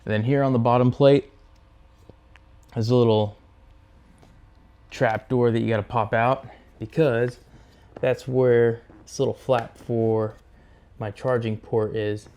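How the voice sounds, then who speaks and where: Man with a mellow, low-key tutorial voice. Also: fairly even intonation, clean tone. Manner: unhurried, talks through each step while working with his hands. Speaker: host of a motorcycle gear install video. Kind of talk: casual hands-on tutorial narration.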